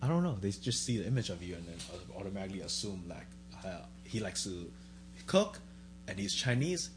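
A man in casual chat, relaxed and joking, dropping his voice low in his asides. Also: brisk, music in the background.

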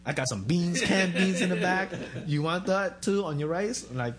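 Guy joking around in a chat, easygoing, dips low in his asides, slight hum. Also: animated, normal speed, a bit bright. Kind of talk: casual chat.

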